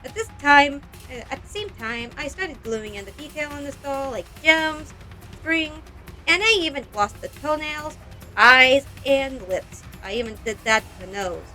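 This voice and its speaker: Bright, high-voiced woman vlogger. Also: expressive, unhurried, faint music behind. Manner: rambling, self-deprecating, exclamatory. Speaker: doll customization video creator. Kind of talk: casual craft vlog narration.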